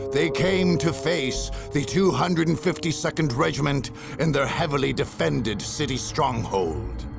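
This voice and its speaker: An actor playing a grave war chronicler, narrating a video game campaign with theatrical, portentous pauses and a husky, gravelly voice. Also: male voice, fairly high pitch, heavily acted. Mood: ominous, triumphant. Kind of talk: dramatic scripted game narration.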